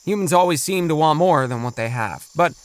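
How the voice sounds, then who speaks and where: A man plays an earnest middle-aged father in a children's audio adventure. His voice is on the high side and swings expressively as he explains things in a hesitant, thoughtful way. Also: expressive, a little fast, clear, resonant tone, close to an everyday voice. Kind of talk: children's audio drama acting.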